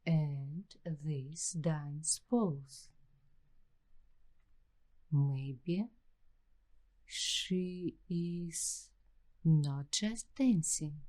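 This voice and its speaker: A woman playing an uneasy, paranoid young waitress in an ASMR roleplay, speaking in hushed, deliberate, halting phrases with suspenseful pauses. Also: deep voice. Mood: uneasy suspicion. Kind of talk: slow ASMR roleplay storytelling.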